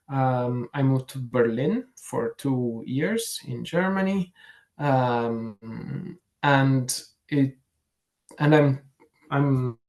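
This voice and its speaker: A man with an Italian accent speaks in a mid-range voice at a slow pace. He is reflective and conversational, as if answering in an interview. He often pauses mid-sentence to search for the next phrase. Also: medium pitch, darkish tone. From Italy. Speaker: career fair interviewee, program manager.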